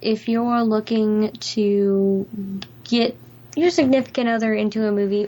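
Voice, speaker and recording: A woman's voice: mid-range voice, darkish tone. A woman co-hosting a podcast in an informal, chatty style. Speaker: podcast co-host. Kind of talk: casual chat.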